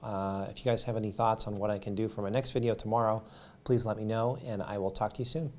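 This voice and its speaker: An American man with a slightly raspy voice gives casual, unscripted sports commentary to viewers, often halting and repeating words. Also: fairly low pitch, steady tone, slight background noise. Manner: halting, repeats words and trails off mid-phrase. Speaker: YouTube sports commentator and host. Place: home studio.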